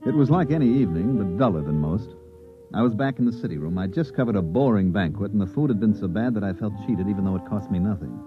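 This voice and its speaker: Low, wry newspaper reporter played by a man. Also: animated, fast talker, lightly acted. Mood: wry, weary. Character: world-weary wry male newspaper reporter narrating. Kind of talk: 1950s radio drama acting. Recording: muffled 1950s radio recording, music behind.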